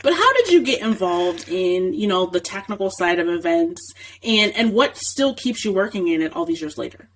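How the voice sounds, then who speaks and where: A woman's voice: lowish voice, animated, normal speed, hardly any pauses, slight background noise, low-quality recording. A woman hosting a podcast interview, chatting with her guest in a friendly, casual way. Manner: friendly, chatty, gets stuck on a word and asks the guest for it. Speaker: podcast host. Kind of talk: interview host, casual chat.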